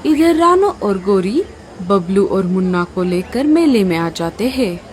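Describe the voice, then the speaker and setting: A woman's voice: mid-range voice, big pitch swings, a little slow, background noise. A woman narrating a scripted children's story.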